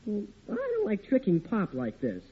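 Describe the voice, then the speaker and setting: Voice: medium pitch, huge pitch swings, moderate pace, slightly rough. A performer playing a hesitant, good-natured American boy, the younger brother in a 1940s radio sitcom. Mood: reluctant, then agreeable. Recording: noisy, tinny old radio recording.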